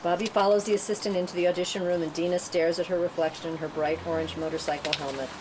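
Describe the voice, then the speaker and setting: A woman's voice: fairly low pitch, fairly even intonation, fast. A woman reading stage directions as scripted narration at a table read. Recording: clearly noisy room recording.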